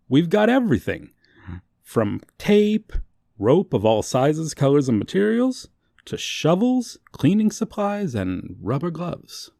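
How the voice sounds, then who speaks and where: A man acts out a cheerful, chatty male shop clerk in an ASMR roleplay. His pitch moves around freely while the pace stays slow and unhurried. The sound is dry and close, with polite sales patter and playful asides. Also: gravelly, mellow, dry recording, heavily acted. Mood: smooth, welcoming.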